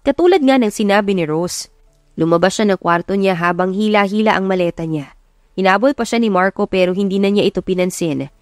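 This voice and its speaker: A woman's voice: mid-range voice, brisk, dry recording, clean studio sound, soft background music. A woman with a Filipino accent reading scripted, dramatic story narration as an audiobook narrator. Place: close, dry recording with music behind.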